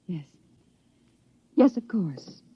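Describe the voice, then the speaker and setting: A woman's voice: lowish voice, very animated, drawn-out words, husky, dull audio, heavily acted. An actress playing a determined, passionate woman scientist in an old-time radio drama, speaking in an intense theatrical way. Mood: tender, eager.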